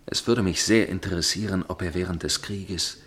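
A low-pitched gentleman storyteller from a radio play. He is inquisitive and suspicious. His delivery is formal and measured, with a probing edge. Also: slight background noise. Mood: suspicious, intrigued. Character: inquisitive gentleman narrator, suspicious dinner guest with probing temper. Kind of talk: German radio play narration and dialogue.

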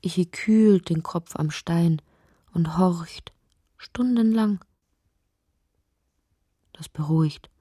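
A woman narrating a literary audio drama in a breathy voice that often drops toward a whisper, reciting deliberately and poetically. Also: fairly low pitch, warm tone, dry recording, studio quality.

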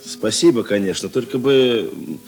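Soviet detective, lowish pitch, terse, restrained, expressive swings, radio drama. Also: male voice, unhurried, vocal fry, breathy edge, heavily acted. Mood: polite, reserved. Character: gruff, reserved Soviet police investigator, recovering from illness. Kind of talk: Russian radio play acting.

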